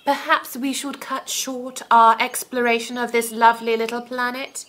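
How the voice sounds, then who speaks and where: A woman's voice: on the high side, little pitch movement, moderate pace, fairly bright tone, close to an everyday voice. A woman playing a time traveller's dry-witted, cautious companion in an audio drama, speaking formally and precisely. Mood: dry, reluctant.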